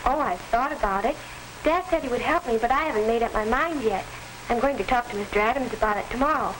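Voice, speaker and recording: A woman acting as an earnest American teenage girl in a 1940s educational film. Her voice is fairly high and her phrasing is polite and carefully scripted. Faint crackle colours the old soundtrack. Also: fast, fairly bright tone, close to an everyday voice.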